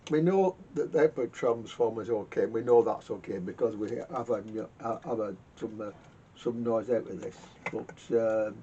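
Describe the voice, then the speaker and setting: Male repairer, halting, self-muttering workshop talk, dark soft tone, rumbly noisy recording. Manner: halting, muttering to himself, repeats words. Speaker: vintage radio repairer hosting a workshop video. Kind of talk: casual hands-on repair commentary. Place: garage workshop. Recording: muffled lo-fi sound with rumbling noise.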